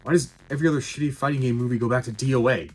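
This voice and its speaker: A man's voice: mid-range voice, steady tone, very fast, husky. A man giving a casual scripted review commentary, with sarcastic dry humour and some swearing. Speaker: video game movie reviewer. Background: faint music.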